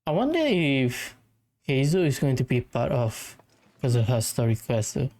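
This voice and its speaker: A woman's voice: a bass voice, sing-song, normal speed, dark tone, slight background noise. A woman giving casual commentary while playing through a game as a streamer. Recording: game music faintly behind.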